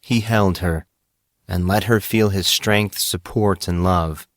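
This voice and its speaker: A man narrates a dramatic story in a lowish voice. He reads very slowly and earnestly, with measured phrasing, in a dry, close space. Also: fairly even intonation, no echo. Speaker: audiobook narrator.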